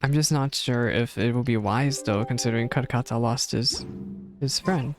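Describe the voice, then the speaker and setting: Game reaction streamer, casual commentary, empathetic, halting musing, quiet music underneath. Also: mid-range voice.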